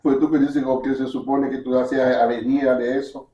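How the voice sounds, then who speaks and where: A man's voice: mid-range voice, level tone, moderate pace, dark tone, roomy sound, distant mic. A man co-hosting a casual podcast, teasing his friend in mock arguments. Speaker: podcast co-host. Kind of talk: casual chat over food.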